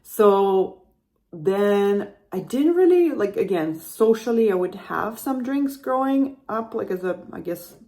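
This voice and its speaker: A woman's voice: mid-range voice, animated, takes it slowly, warm tone. A woman from Russia hosting a podcast, telling her personal story in a chatty, rambling way and often correcting herself mid-sentence. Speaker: podcast host.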